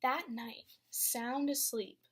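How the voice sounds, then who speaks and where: A young woman, a student teacher, reading a picture book aloud to a class with expressive storytelling and questions for the children. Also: high-pitched, takes it slowly, noisy background. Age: young adult.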